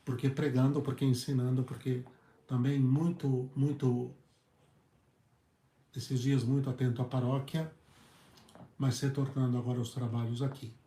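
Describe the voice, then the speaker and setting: A hoarse male priest with a Brazilian Portuguese accent, preaching earnestly in halting phrases and often repeating his words. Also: medium pitch, little pitch movement, mellow. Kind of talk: preached talk on a video channel. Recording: echoey room.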